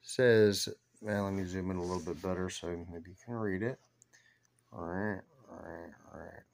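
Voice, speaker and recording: A man's voice: low-pitched, fairly even intonation, normal speed, gravelly. A man giving informal, rambling stock commentary, thinking aloud as he reads through figures on his screen. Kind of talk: informal screen-share commentary on company finances.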